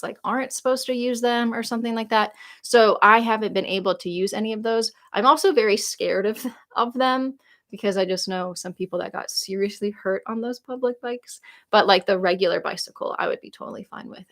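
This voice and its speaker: A woman's voice: medium pitch, fairly bright tone. A woman in her late twenties answering viewer comments on a live stream, chatty and rambling, with lots of "like" fillers and easy laughter. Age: 20s. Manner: laughs easily while reading comments aloud. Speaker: YouTuber answering viewer questions. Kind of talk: casual live-stream Q&A chat.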